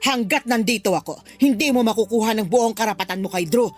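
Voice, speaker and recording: A woman's voice: a little high, fast, faint music behind, close to an everyday voice. Woman acting a spiteful older Filipino mother, taunting. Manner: taunting, accusing. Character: spiteful, possessive older mother of a dead daughter. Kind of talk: Filipino radio drama acting.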